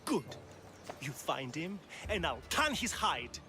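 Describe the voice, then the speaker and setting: Grumbling high-voiced fisherman dad. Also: sing-song, slow pace, background noise. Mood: grumpy. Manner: grumpy, gruff, exasperated. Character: sour, grumbling fisherman father. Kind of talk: video game cutscene acting.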